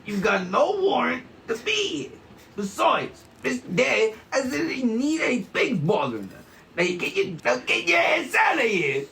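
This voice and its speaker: A man playing a husky, hostile ex-bodyguard who is stubborn and foul-mouthed, in a comedic script table read. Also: very high voice, big pitch swings, normal speed, bright, forceful tone, slight background noise, exaggerated voice. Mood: angry. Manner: belligerent, slangy, swearing.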